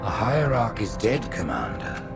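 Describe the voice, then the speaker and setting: An actor playing a scheming alien high prophet in a video game, gravelly, grandiose and condescending, with quiet menace. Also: male voice, wildly swooping pitch, measured pace, over music, exaggerated voice. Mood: stern, affronted. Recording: film score behind voice.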